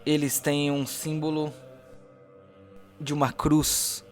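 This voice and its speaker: A man's voice: a little high, level tone, slow. Theatrical male game master over music. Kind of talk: dramatic tabletop game narration.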